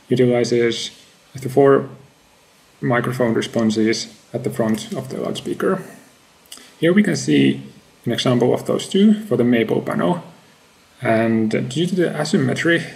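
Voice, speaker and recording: A man in his thirties with a creaky voice gives a measured, matter-of-fact research presentation in halting phrases. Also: medium pitch, darkish tone, low-quality recording. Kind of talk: scripted academic slide presentation.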